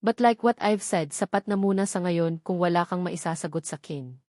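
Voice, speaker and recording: A woman's voice: fairly low pitch, normal speed, a little mellow, no echo, clean studio sound, lightly acted. A woman with a Filipino accent reading the part of a devoted, tender husband in a romance audiobook, earnest and sentimental.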